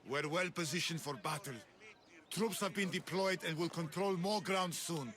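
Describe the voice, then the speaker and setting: An actor playing a ruthless, proud Spartan general in a video game, speaking in a boastful, curt, imperious way. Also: male voice, fairly high pitch, normal speed, a bit bright, lightly acted. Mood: stern, confident. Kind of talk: scripted video game acting.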